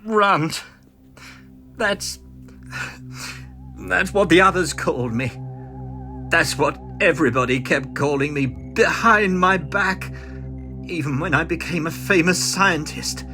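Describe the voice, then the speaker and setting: An actor playing a small, bitter, frantic mad professor in a vintage comic audio drama, agitated, halting and melodramatic. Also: male voice, fairly high pitch, music in the background. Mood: bitter resentment.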